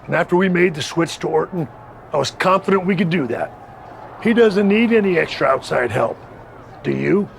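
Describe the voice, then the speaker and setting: A scheming rival wrestling manager in a video game cutscene, speaking with a gravelly, somewhat high voice. He talks calmly and persuasively, with a faintly taunting edge under every offer. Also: moderate pace, slight background noise.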